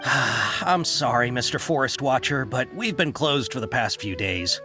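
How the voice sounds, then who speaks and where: An actor playing an apologetic, weary caravan merchant in a game. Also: male voice, medium pitch, lively intonation, measured pace, over music, natural voice. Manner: apologetic, sighing. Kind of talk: video game voice acting.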